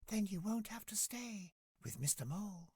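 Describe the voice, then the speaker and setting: A man voicing a small, kindly swallow in a children's storybook, with a thin, breathy falsetto and a soft, careful delivery. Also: expressive, normal speed, no room sound, clean studio sound, full character voice. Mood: gentle, caring. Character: small gentle swallow, kindly helpful bird.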